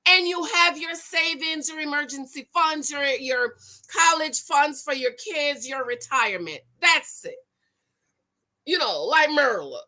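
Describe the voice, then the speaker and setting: Loud lady, high voice, reads a news story and preaches about it all excited and animated. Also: measured pace, rough recording. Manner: animated and preachy, with emphatic repetition and Pentecostal preacher energy. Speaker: YouTube commentator on money and marriage. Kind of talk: casual commentary reading and reacting to a news story.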